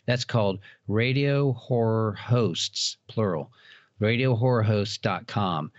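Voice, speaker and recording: Middle-aged man, low voice, folksy enthusiastic explainer, casual interview guest, dull lo-fi recording. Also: slow. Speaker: interview guest, author on old time radio. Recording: roomy, dull lo-fi sound.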